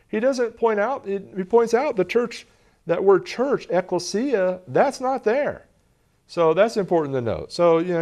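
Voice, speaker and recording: A man's voice: mid-range voice, a little mellow. A man in his forties teaching a Bible lesson from a lectern in a television studio, earnest and gesturing as he reads passages aloud.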